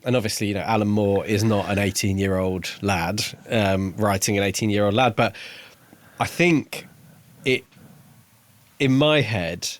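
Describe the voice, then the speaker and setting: A man's voice: fairly low pitch, a little slow. A man co-hosting a podcast, discussing a book casually in a tentative, reflective and agreeable way. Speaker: podcast co-host.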